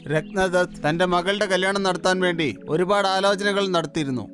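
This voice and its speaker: A man voicing a male vetala spirit who speaks formally and tells a tale in an animated storybook, with background music. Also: sits high, moderate pace. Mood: storytelling. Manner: formal, courtly address.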